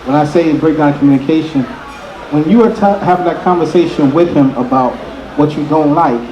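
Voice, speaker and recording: A man's voice: on the high side, brisk, warm tone, dull audio. A man explaining his view in an unscripted relationship discussion as one of the advisers. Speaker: panelist giving relationship advice. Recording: echoey room.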